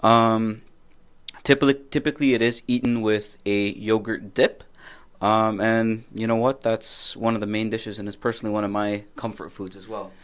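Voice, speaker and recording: A man's voice: fairly low pitch, flat delivery, moderate pace. A young man from Canada co-hosting a casual podcast, friendly and prone to repeating himself and restarting his sentences. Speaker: podcast co-host.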